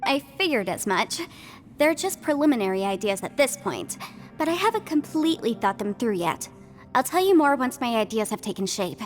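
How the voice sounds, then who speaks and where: A woman playing an analytical, polite young investigator in a video game, measured, articulate and thoughtful. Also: fairly high pitch, sing-song, soft background music. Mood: friendly.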